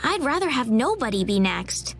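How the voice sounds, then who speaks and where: An actress playing a polite, gentle, somewhat anxious young noblewoman in a video game. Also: a little high, big pitch swings, normal speed, soft background music. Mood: nervous.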